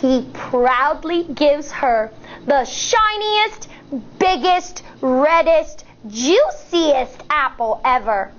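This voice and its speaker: A woman in her thirties, a teacher, reading a picture book aloud to children in an animated, expressive way with chatty asides. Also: sits high, drawn-out words, dull audio. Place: studio in front of a blue backdrop.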